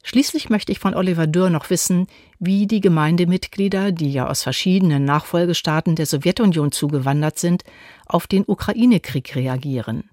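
A woman reporter narrating a scripted radio feature in a measured, even reading. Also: fairly low pitch, no echo, clean studio sound.

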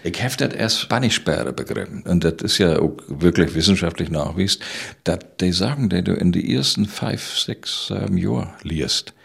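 Deep, gravelly northern German man. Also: animated, moderate pace. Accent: northern German, Low German. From island of Rügen, northern Germany. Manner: vivid anecdotal telling, acts out quotes. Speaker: playwright and storyteller, interview guest. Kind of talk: conversational storytelling in an interview.

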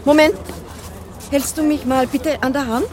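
An actress in a crime radio play playing a cool, businesslike and sardonic wife. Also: female voice, sits high, steady tone, measured pace, darkish tone. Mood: practical. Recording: noisy outdoor bus station with vehicles.

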